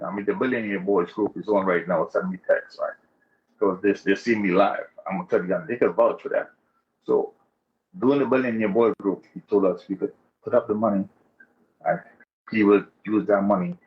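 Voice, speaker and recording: A man from Trinidad giving a casual, slangy, chatty account as a guest caller. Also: lowish voice. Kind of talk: casual account of personal experience. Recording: dull, lo-fi call audio.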